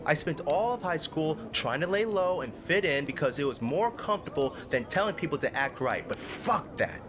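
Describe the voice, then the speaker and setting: An idealistic American high school boy, acted by a man, anguished and impassioned, in a fantasy audio drama. He speaks in slang and stumbles over his words, with clear ambient noise behind him. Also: animated, normal speed, close to an everyday voice. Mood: righteous anger.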